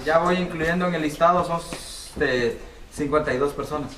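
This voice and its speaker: A community organizer casually reports his tallies in a matter-of-fact way. His voice is slightly high and echoes around a roomy space. Loud background noise runs underneath. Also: fairly quick.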